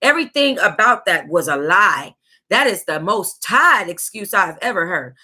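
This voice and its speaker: Sassy American female book club reader, mid-pitched and very bright, casual and reactive. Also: normal speed, breathy edge. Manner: sassy, animated reactions, breaking into laughter and asides. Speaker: book club host reading aloud. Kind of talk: reading a tell-all book aloud with casual, reactive asides.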